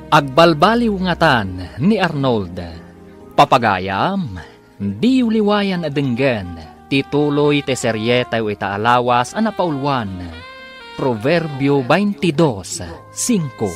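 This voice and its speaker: A man's voice: medium pitch, big pitch swings, slow, soft background music. A man with an Ilocano accent reading a scripted radio drama introduction in an emphatic, dramatic way. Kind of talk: scripted announcement.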